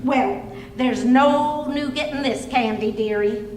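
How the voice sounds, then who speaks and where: A woman's voice: high-pitched, slow, darkish tone. A woman playing a teasing, sensible, long-suffering wife in old-time radio comedy, with a dry, fondly scolding manner. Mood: chatty, teasing. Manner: dry, wry, fond scolding. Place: clubhouse hall, live radio play performance. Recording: echoey live hall recording, faint noise.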